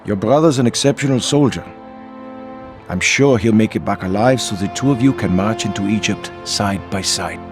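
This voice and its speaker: An actor playing a brash, sardonic officer in a video game. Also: male voice, fairly low pitch, normal speed, music in the background, natural voice. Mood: confident, joking. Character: brash, unsentimental German Afrika Korps officer, jovially sardonic. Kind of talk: video game cutscene acting.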